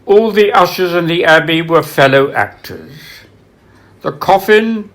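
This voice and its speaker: A man reading literary biography aloud as its author, in a solemn, measured way with dramatic pauses. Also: on the high side, expressive, fairly bright tone. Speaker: author reading from own book.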